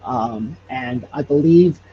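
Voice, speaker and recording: A man from Hawaii telling stories casually as an interview guest, haltingly, with repeated false starts. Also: medium pitch, highs cut off. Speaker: podcast creator and guest.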